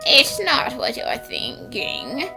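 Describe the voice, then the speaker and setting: A woman voicing a kindly, devoted veteran bank manager in a cartoon fan dub, gentle and reassuring. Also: high voice, huge pitch swings, takes it slowly, vocal fry, music in the background, heavily acted. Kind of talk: animated mystery fan dub acting.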